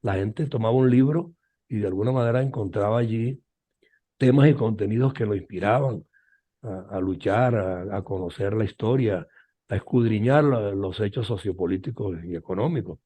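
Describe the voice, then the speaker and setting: An older man hosting an interview as a journalist, speaking formally and measured, with some hesitant fillers. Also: on the low side, warm tone, slightly rough. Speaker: journalist host.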